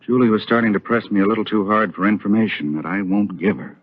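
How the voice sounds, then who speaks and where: A muffled vintage radio recording of an actor as a secretive, troubled doctor. His deep voice is rough and husky, terse and weary with his wife. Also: fairly even intonation, quick pace, natural voice. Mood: troubled, resigned. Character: troubled, curt, secretive doctor husband Jeff. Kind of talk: 1930s radio soap opera acting.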